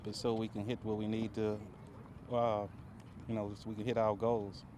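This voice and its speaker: A man's voice: on the low side, little pitch movement, moderate pace, a little mellow, a little hiss or hum. A man who is head coach of a youth track club, answering interview questions outdoors at a meet, plainspoken and brief.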